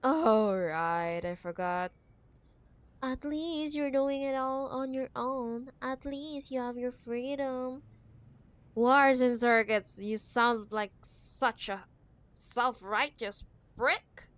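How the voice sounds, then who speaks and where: Slow-talking, possessive corporate ex-girlfriend, acted by a woman. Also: fairly high pitch, clean tone, dry recording. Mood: mocking, scornful. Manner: teasing, condescending, scornful, drawn-out emphatic delivery. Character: possessive, condescending wealthy female CEO ex-girlfriend in cyberpunk city. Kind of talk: ASMR audio roleplay, intimate dramatic monologue.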